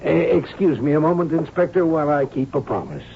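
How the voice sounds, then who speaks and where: A sly older ex-convict speaks with mock courtesy and theatrical relish. The voice sits a little high, swings widely and crackles at the edges, in an old, muffled radio drama. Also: male voice, lively intonation, fairly quick, creaky. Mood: genial. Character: sly, genial, theatrical retired male crook, older. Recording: dull, noisy old radio broadcast.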